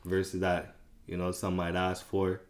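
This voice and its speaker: A man's voice: deep voice, monotone, unhurried. A man hosting a casual podcast interview, asking questions loosely and repeating words as he starts. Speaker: podcast host.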